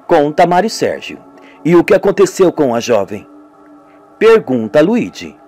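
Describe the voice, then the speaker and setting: Animated Brazilian man narrating a radio soap. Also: a little high, unhurried. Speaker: narrator of an amateur radio serial, doing all the parts. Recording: music behind the voice. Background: music.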